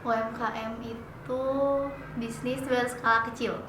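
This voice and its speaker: A nineteen-year-old woman answering interview questions casually and briskly. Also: on the high side, little pitch movement. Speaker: interviewee, student. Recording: distant echoey room, noisy background.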